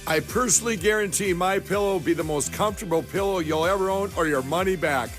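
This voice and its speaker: Fast, high-voiced male commercial pitchman. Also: fairly bright tone, faint music behind. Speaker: advertising pitchman.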